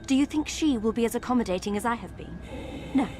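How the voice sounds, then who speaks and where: Actress as domineering game villainess, menacing, imperious, higher-pitched, music underneath. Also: female voice, expressive, fast, a little mellow, natural voice. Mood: cold menace. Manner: commanding, menacing. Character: ruthless, domineering villainess driving her workers.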